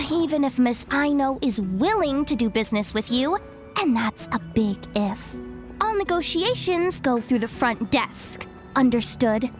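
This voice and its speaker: A young woman informant from a video game, high-pitched and savvy. She talks in a wry, self-assured, conversational way, with music playing behind her. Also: very animated, unhurried. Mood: scolding, firm. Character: street-smart young female informant, confident and wry. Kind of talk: video game cutscene acting.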